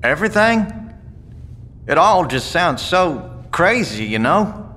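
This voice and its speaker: An actor playing a wary, plain-spoken apocalypse survivor in an audio drama, with a folksy, laconic way of talking. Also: male voice, a little high, very animated, slow, bright, forceful tone, soft background music, full character voice. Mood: earnest, hesitant. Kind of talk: naturalistic audio drama dialogue.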